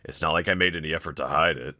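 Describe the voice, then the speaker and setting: A man's voice: extremely low pitch, racing, bright, forceful tone, no room sound, clean studio sound, full character voice. A man voicing a wanted young male villain in a fan audio roleplay, talking to one listener in a casual, sardonic, teasing drawl. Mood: incredulous, sardonic.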